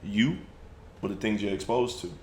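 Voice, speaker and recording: A man's voice: on the low side, moderate pace, reverberant, a little hiss or hum. A man hosting a casual podcast discussion, easygoing as he puts questions to the group. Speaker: podcast host.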